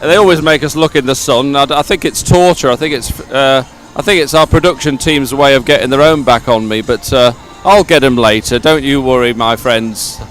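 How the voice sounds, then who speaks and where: A man's voice: mid-range voice, moderate pace, few pauses, fairly bright tone. A man presenting live outdoor sports coverage, effusive and often repeating his words as he chats and interviews. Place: outdoors at a regatta stadium.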